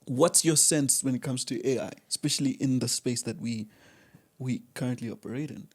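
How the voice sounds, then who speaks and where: A man hosting a podcast in a studio, asking questions in a relaxed, chatty way. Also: mid-range voice, fairly quick, breathy edge. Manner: relaxed, chatty, lots of fillers and restarts. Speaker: podcast host. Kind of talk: casual interview chat.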